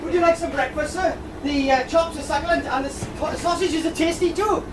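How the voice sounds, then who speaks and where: In an echoey room over loud crowd noise, a man acts a young pantomime manservant. The voice is high, chirpy and eager, with a cheeky, hesitant deference. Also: steady tone, a little fast, recorded from a distance. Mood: cheerful, deferential. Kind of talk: amateur pantomime stage acting. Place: live amateur show at a tavern venue.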